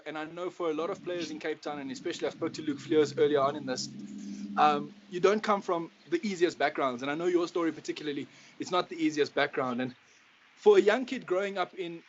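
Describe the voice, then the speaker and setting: Man, South African accent, higher-pitched voice, matey football coach, effusive interviewer, casual chat, dull lo-fi sound. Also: brisk, crowd noise. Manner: effusive, matey, calls guest bro. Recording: dull lo-fi sound with clear noise.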